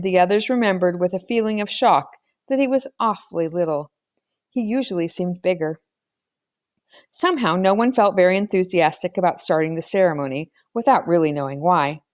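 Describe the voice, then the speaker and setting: A woman's voice: lowish voice, darkish tone, no echo, studio quality. A woman reading a children's novel aloud as scripted storybook narration. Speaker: audiobook reader.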